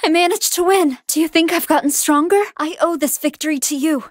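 A woman voicing a gentle, polite young female android fighter, reluctant yet determined, in short earnest exclamations for an anime fighting game. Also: sits high, fairly quick, no echo, studio quality, lightly acted.

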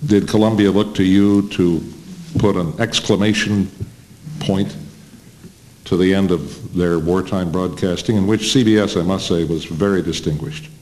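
Low-pitched older male interviewer. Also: fairly even intonation, moderate pace, darkish tone. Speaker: interviewer, radio broadcaster. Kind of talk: conversational interviewing. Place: plain room, seated at a table before a small audience. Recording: faintly noisy amateur camcorder recording.

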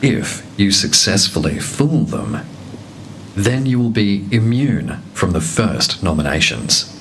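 Deep, slow male announcer with a commanding, measured read. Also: sing-song, a bit bright, a little hiss or hum, low-quality recording. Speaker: reality show voice of Big Brother. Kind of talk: scripted announcements.

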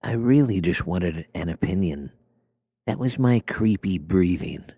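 Low-voiced man making creepy prank calls. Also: animated, unhurried, dark tone, husky, no room sound, clean studio sound. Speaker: prank caller. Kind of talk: prank phone call in character as a film slasher.

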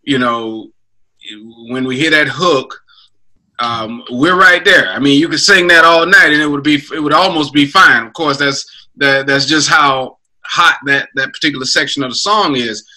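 Male producer, casual coaching, sharp bright tone, webcam call. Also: on the high side, moderate pace, poor audio. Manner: probing questions, brainstorming aloud. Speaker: music producer. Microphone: webcam on a video call.

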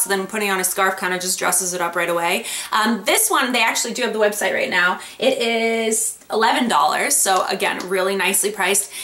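A woman's voice: medium pitch, a bit bright, echoey room. A young woman vlogger talking casually at her desk about accessories and a giveaway, with plenty of ums. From Florida. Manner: chatty, lots of ums, upbeat. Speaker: beauty vlogger. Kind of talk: casual product haul talk. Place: home room with desk and lamp. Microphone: webcam at desk.

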